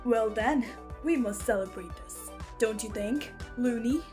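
A woman's voice: fairly high pitch, unhurried, lightly acted. A woman voicing a brash, cocky, jealous male boss angel in a comic dub, with a swaggering, crude, emphatic delivery. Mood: smug. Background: background music.

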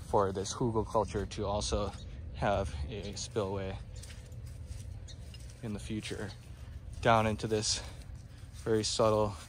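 Guy walking his garden on a phone, low flat voice, lots of um you know, faint outdoor air. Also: slight background noise. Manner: relaxed, many fillers like "um, you know". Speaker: forest garden grower giving a tour. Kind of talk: casual walking tour explanation. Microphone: phone camera held by the speaker.

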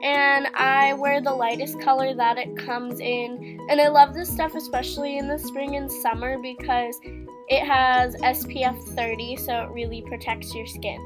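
A teenage girl with a high, nasal, congested voice talks through her beauty routine in a chatty way, full of "um" and "like". Music plays clearly under her narration. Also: flat delivery, normal speed. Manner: chatty, with fillers like "um" and "like". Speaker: beauty vlogger. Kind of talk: casual get-ready-with-me voice-over. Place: home living room. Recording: music playing behind the voice.

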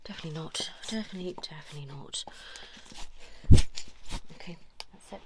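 A woman doing an ASMR role-play as a chiropractor, coaxing a patient in soft talk and whispers, with short repeated reassurances. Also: low voice, a little fast. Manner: soothing, coaxing, talks directly to a patient. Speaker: ASMR performer playing a chiropractor. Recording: lo-fi, noisy, with clicking sounds.

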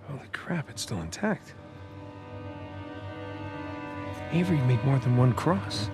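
A man's voice: mid-range voice, sing-song, normal speed, natural voice. Game hero for a male actor: American ex-treasure hunter, medium voice, dry skepticism, everyday talk with music behind. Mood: intrigued. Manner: conversational, skeptical, wry. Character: wry American adventurer, reluctant retired treasure hunter. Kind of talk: naturalistic video game acting.

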